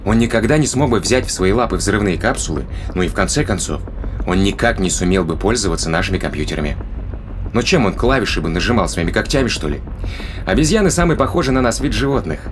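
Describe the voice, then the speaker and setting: Male crewman, played by an actor: low-pitched, brisk, sardonic explainer for sci-fi audio drama, colloquial, ambient noise underneath. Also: expressive, lightly acted. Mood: wry, explanatory.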